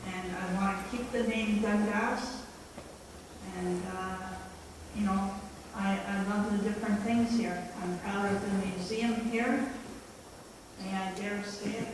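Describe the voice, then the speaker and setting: Plainspoken candidate in an echoey hall. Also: female voice, mid-range voice, distant mic. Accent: Canadian. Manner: plainspoken, hesitant with fillers. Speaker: council candidate. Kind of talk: campaign speech at a public meeting. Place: large hall at a candidates meeting. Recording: echoey hall, mic from afar, faint hum.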